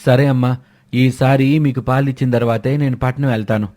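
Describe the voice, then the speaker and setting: Man acting a grumbling village milk seller, medium pitch. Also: fairly even intonation, fairly quick, warm tone, close to an everyday voice. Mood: excusing. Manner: defensive, complaining. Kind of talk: acted dialogue in a moral fairy tale.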